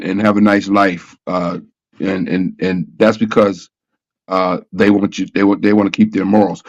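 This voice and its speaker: A man's voice: mid-range voice, no echo, dull audio. A man hosting a casual panel talk show, arguing emphatically and repeating himself as he builds his point. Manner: repetitive, emphatic, argues with rhetorical questions.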